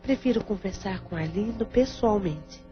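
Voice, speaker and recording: A Brazilian actress playing a caring, devout aunt and supportive sister in an audio drama. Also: female voice, medium pitch, lively intonation, moderate pace, lightly acted. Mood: earnest, concerned. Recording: dull lo-fi audio under music.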